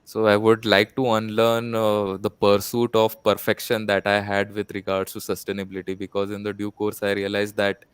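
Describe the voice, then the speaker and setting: A man's voice: fairly low pitch, monotone, normal speed, hardly any pauses, smooth, no echo. A man speaking as a panel guest in a hesitant, thoughtful manner. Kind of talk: unscripted panel discussion.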